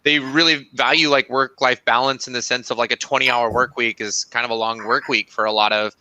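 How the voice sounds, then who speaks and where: A man's voice: mid-range voice, steady tone, brisk, pushed and bright. A man co-hosting an interview show over a video call, talking casually and saying "like" often. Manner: casual, lots of likes. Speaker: co-host.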